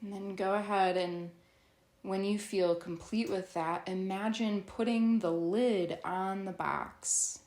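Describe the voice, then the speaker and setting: Slow-paced woman guiding a roomy meditation. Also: mid-range voice, steady tone, a little mellow. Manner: soothing, instructional, with long pauses. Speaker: guided meditation teacher. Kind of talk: guided yoga nidra meditation.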